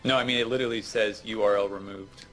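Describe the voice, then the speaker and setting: A man's voice: lowish voice, steady tone, quick pace, fairly bright tone, soft background music. A man co-hosting a gaming show, making casual comments on the game being played.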